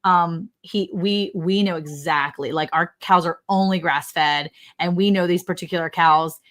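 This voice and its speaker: A woman co-hosting a livestream, chatting casually and telling animated personal stories in which she quotes other people. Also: lowish voice, unhurried. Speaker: livestream co-host. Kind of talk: casual chat with anecdotes.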